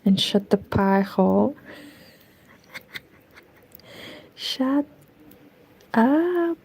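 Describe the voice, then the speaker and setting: Woman, Romanian accent, casual knitting stream, rambling, half-whispered asides, gravelly. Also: on the high side, lively intonation, very slow, a little mellow. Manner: rambling, talks to herself, drifts into whispers. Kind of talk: casual chat while knitting. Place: home room with curtains.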